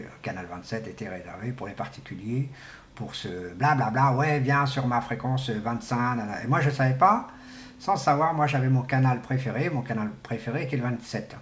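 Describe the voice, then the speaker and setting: A middle-aged man speaks at a middling pitch in an echoey room. He explains things informally and without a script, like a hobbyist presenter. His delivery is halting and colloquial, and he repeats himself to make a point. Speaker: amateur CB radio enthusiast presenting. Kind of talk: informal unscripted explainer to camera. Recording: echoey, roomy sound.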